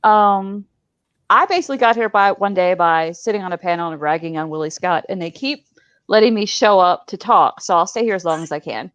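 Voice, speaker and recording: A woman's voice: on the low side, fairly quick, a bit bright, clear, resonant tone, no room sound. A woman chatting casually as a panelist in a self-deprecating way, on dull online-call audio.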